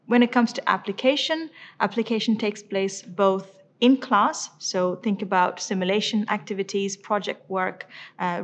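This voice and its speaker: Senior lecturer, woman, mid-pitched, measured program overview with filler pauses. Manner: measured, with frequent ums. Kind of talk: program presentation.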